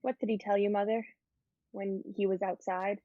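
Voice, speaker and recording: A woman playing a naive, ailing fourteen-year-old girl, earnest and halting, in a dramatic reading of an old play. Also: mid-range voice, flat delivery, dry recording, narrow, tinny audio, natural voice. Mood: anxious questioning.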